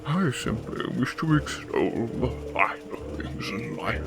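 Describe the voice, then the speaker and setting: A man playing a genteel, flattering criminal mastermind and nightclub owner in a radio drama, speaking in a courtly, effusive, formal way. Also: mid-range voice, slow, gravelly, over music, exaggerated voice. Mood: smooth courtly flattery.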